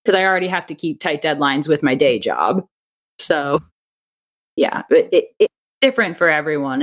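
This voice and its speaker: A woman speaking casually as a podcast guest, explaining things in a conversational, self-qualifying way. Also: deep voice. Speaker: podcast guest, audio drama writer and director. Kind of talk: casual interview chat.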